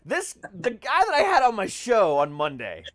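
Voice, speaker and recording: A man's voice: high-pitched, huge pitch swings, normal speed, fairly bright tone, no echo. A man hosting a podcast in casual conversation, talking in an emphatic, slangy way with frequent swearing. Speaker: podcast host. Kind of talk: casual chat.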